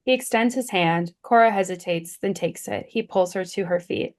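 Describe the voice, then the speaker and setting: A woman's voice: fairly low pitch, normal speed, a little mellow. A woman reading stage directions as scripted narration at a screenplay table read.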